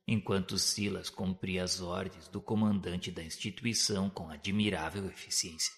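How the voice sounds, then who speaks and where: Low-voiced Brazilian man narrating in cavernous reverb. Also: a bit bright, a little hiss or hum. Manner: formal, solemn, literary delivery. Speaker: narrator of an audio drama. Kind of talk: dramatic scripted narration of a spiritist radio novel. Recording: long reverberant hall echo.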